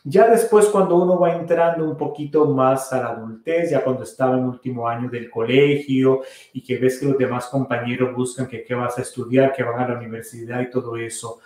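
Middle-aged priest chatting on his podcast, rambly with lots of ehs, mid pitch, room echoes a lot. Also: brisk. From Costa Rica. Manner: relaxed, rambling, with many fillers and restarts. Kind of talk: casual call-in podcast answering questions.

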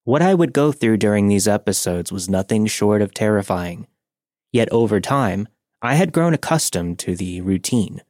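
A man's voice: on the low side, animated, normal speed, a little mellow, no room sound, studio quality. A man narrating a scripted first-person horror story for a podcast.

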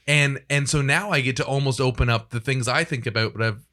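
A man's voice: mid-range voice, fairly quick, pushed and bright. A man hosting a casual studio podcast conversation in a joking, self-deprecating way. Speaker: podcast host. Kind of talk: casual podcast interview chat.

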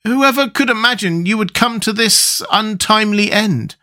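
Brief: gentle loyal tin woodman, raised pitch as a man voices him. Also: normal speed. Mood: tender. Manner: tender, proud.

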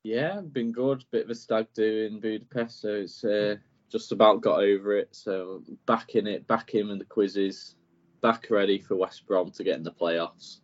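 Brief: male quizmaster, relaxed delivery, lowish voice, muffled lo-fi sound. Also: normal speed. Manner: laid-back, reads clues plainly, chuckles often. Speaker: podcast quizmaster. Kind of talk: casual quiz chat. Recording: dull lo-fi podcast audio.

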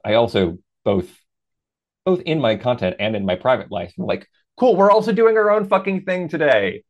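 A man in his thirties with a deep voice, a podcast guest talking things through in a reflective, measured way with lively asides. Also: highs cut off. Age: 30s. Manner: reflective and measured, lifts into animated quoted asides. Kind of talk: casual interview chat.

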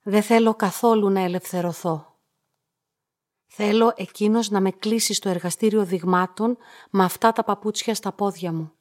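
An actress playing a solitary young woman in a radio play, polite, measured and introspective, quietly devoted and resolute. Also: mid-range voice, steady tone, no room sound, clean studio sound, close to an everyday voice. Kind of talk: radio drama acting and first-person narration.

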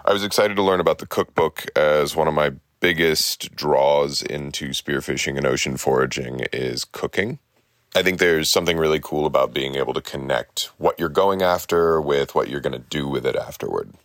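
A man from Southern California, a listener giving an earnest, steady message of thanks to a podcast host. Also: rumbling low, normal speed, no echo, clean studio sound. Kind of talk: read message.

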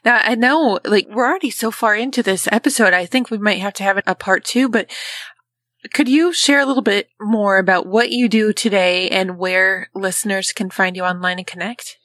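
A woman's voice: mid-range voice, moderate pace, no room sound. Chatty woman podcast host. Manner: warm, chatty, addresses listeners directly. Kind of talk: casual interview hosting.

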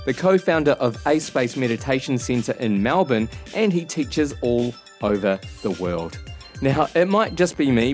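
Man, mid-pitched voice, podcast host, measured read, soft music behind. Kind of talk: scripted podcast intro.

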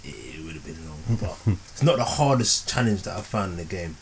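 A man co-hosting a casual podcast, telling stories in an animated way with quick asides. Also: deep voice, a little hiss or hum. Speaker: podcast co-host.

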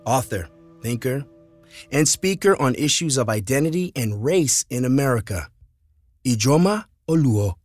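A man's voice: medium pitch, animated, moderate pace, dry recording, soft background music. A man hosting a documentary podcast, reading scripted narration.

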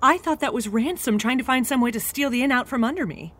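A woman playing a bitter, vindictive grown woman in an audio drama, sharp and scornful in manner. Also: sits high, fast. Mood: shocked, defensive. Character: bitter, vindictive older-generation woman feuding with powerful family.

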